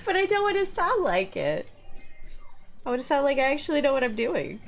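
A woman's voice: sits high, big pitch swings, fairly quick. Giggly woman streaming over faint music. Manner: chatty, laughs often between remarks. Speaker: livestream co-host and miniature painter. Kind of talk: casual live-stream chat while painting. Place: home hobby room, indoors.

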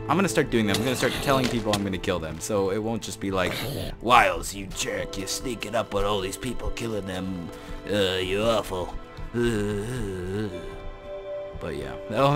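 A young man giving casual, rambling commentary over his own gameplay, joking at himself and breaking into laughing shouts. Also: mid-range voice. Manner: rambling, self-mocking, bursts into laughing shouts. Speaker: gaming YouTuber.

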